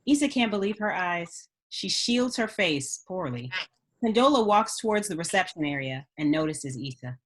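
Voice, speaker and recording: A woman's voice: on the low side, animated, normal speed. A woman reading screenplay stage directions as a narrator at a script table read.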